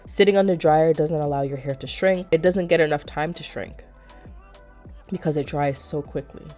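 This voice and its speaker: A woman's voice: low-pitched, normal speed, a little mellow, soft background music. A young woman narrating a hair vlog in a chatty, explaining way, a bit nasal from a cold. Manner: chatty, explanatory, addresses viewers as you guys. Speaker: beauty vlogger. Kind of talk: casual vlog voice-over.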